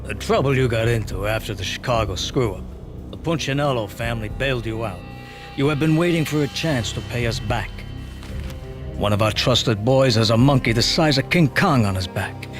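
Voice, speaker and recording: An actor playing a threatening mafia boss in a video game, measured and businesslike. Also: male voice, medium pitch, animated, music in the background, natural voice. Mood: quiet menace.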